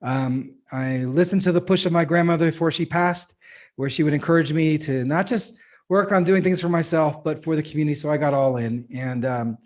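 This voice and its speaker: A middle-aged man gives an earnest account of his working life over a video call. His voice is pitched a bit high, and he often stops on an uh between phrases. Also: fairly quick, darkish tone. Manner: earnest, with frequent "uh" fillers. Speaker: panelist, nonprofit CEO. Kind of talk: panel self-introduction.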